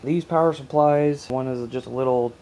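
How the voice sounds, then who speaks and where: A man's voice: medium pitch, fairly even intonation, moderate pace, mellow, a little hiss or hum, poor audio. A man giving a casual, unscripted hobby vlog in a plain, folksy, mildly salty way. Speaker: hobbyist video host.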